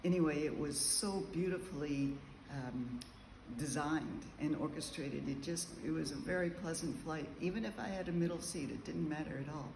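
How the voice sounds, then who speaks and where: A man telling a casual travel diary to camera, meandering and chatty with frequent ums and pauses. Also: fairly high pitch, creaky, background noise. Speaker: travel vlogger. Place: large room with high ceilings, indoors. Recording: echoey room, faint background ambience.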